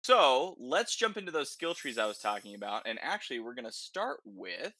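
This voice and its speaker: A man presents game details in a loose, informal podcast style. His voice is bright and crisp-edged, recorded dry and close. He speaks conversationally, leaning on filler words like "kinda" and "like". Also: medium pitch, big pitch swings, normal speed, no room sound. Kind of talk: casual podcast discussion of a video game.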